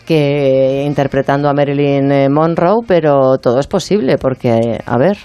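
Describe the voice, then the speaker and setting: A woman hosts a radio show, chatting playfully with her team and tossing in quick asides. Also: rumbling low, dark tone, clear, resonant tone, lo-fi sound. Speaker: radio host. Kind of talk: casual radio chat.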